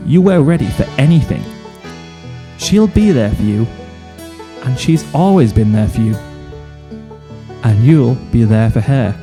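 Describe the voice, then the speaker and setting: A man's voice: medium pitch, warm tone. A man reading a story aloud as a scripted narration, in the role of fan fiction narrator. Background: music.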